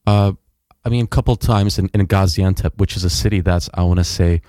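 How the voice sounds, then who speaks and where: A man's voice: deep voice, fairly even intonation, brisk, mellow, no echo, studio quality. A young man telling a travel story as a guest in a casual interview, rambling with fillers and restarts. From United States.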